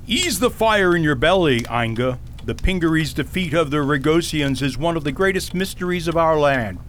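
Man acting a pompous, high-voiced eccentric king. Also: animated, moderate pace, a bit bright, a little hiss or hum. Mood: earnest, self-important. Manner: grandiose, theatrical wording. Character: pompous eccentric king, wordy self-styled storyteller. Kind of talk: fantasy radio drama acting.

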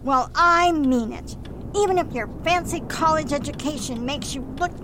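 A woman's voice: sits high, moderate pace, background noise, natural voice. A woman playing a stern, disapproving mother and landlady in an amateur radio drama, scolding and forbidding.

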